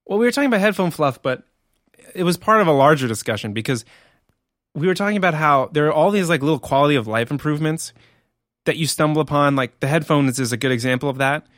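A man's voice: on the high side, expressive, quick pace, a bit bright, no room sound. Laid-back man chatting, dry close mic. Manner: loose and laid-back, swears casually. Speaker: podcast co-host. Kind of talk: casual chat.

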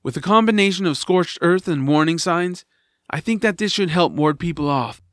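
A man's voice: a little high, expressive, moderate pace, fairly bright tone, dry recording, clean studio sound. A man acting an earnest, kind-hearted young adventurer who also narrates in an audio drama. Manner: earnest, eager.

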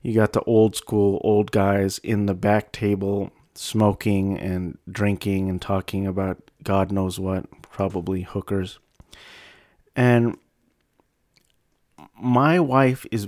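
A man's voice: on the low side, level tone, slow pace, darkish tone, dry recording, studio quality. A young man telling a personal story in a casual, self-deprecating, rambling way with frequent restarts. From United States.

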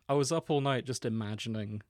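Giggly guy on a podcast, mid pitch, dry close sound, keeps cracking up. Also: no echo. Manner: giggly, laughs often mid-sentence. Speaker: podcast co-host reading a fanfic aloud. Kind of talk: casual chat with dramatic reading.

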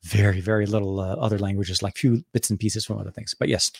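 A man's voice: lowish voice, steady tone, fast, vocal fry, slightly rough, no room sound. Lebanese-accented man, casual tech livestream host, rambling with fillers, dry close sound. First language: Arabic. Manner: rambling, many fillers and restarts. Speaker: tech channel host answering live chat. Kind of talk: casual live Q&A.

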